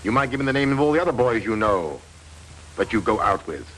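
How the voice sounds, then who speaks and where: A man playing a persistent, wry investigator who narrates in measured, dry 1940s film style. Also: fairly low pitch, very animated, fairly bright tone, slight background noise, rough recording. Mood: calm. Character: dogged middle-aged male investigator, wry and persistent. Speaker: detective narrator.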